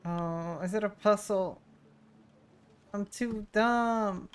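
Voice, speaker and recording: A woman's voice: medium pitch, slow pace, clear, resonant tone. A woman streamer giving casual game commentary, talking playfully to herself in short reactions. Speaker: game streamer playing through a story quest.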